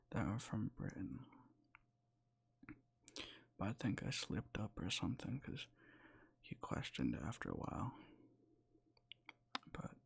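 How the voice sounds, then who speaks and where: A young man whispering in a soft, rambling way close to the mic, sleepy and sometimes losing his words, for boyfriend roleplay ASMR. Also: fairly low pitch, creaky, breathy edge. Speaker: ASMR creator.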